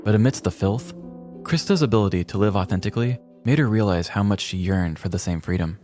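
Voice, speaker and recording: Low-voiced man narrating a comic story. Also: a little fast, over music. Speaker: podcast narrator. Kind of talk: scripted comedic story narration.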